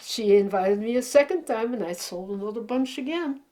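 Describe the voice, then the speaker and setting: A woman's voice: fairly high pitch, fairly quick. A woman in her eighties from Belgium, telling rambling, chatty stories as an interviewee about her painting. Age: 80s. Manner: rambling, chatty, animated storyteller. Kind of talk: casual storytelling interview.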